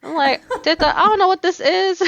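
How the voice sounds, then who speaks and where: A woman's voice: extremely high pitch, a little fast, creaky, a bit bright, dry recording. A young adult woman, a tatreez artist, chatting as a podcast guest, telling stories with lots of fillers and restarts and laughing often. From United States. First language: Arabic. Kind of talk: casual podcast interview.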